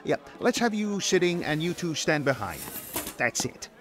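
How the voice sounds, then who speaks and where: An actor playing a polite, fussy wedding photographer who hesitates with fillers. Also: male voice, on the high side, sing-song, moderate pace, a bit bright. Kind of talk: audio drama acting.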